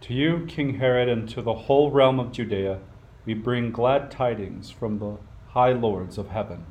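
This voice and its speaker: A man's voice: mid-range voice, moderate pace, a little mellow, close to an everyday voice. An actor playing a solemn, courtly magus king in a radio play, formal and reverent. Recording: faint background noise.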